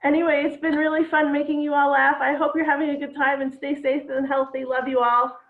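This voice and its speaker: Deadpan woman comic on a tinny line. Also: high-pitched, quick pace. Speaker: stand-up comedian. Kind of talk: online stand-up set. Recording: tinny echoey phone-like line.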